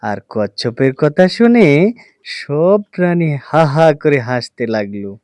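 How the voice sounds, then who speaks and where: A man narrating a scripted children's fable as a storyteller, in a deliberate, drawn-out manner. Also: fairly high pitch, very animated, a little mellow, dry recording, clean studio sound.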